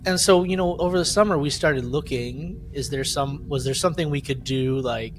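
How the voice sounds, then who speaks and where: A man's voice: fairly high pitch, moderate pace, a little hiss or hum. A man chatting casually as a podcast panelist, telling personal stories in a rambling, conversational way. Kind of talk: casual chat, personal storytelling.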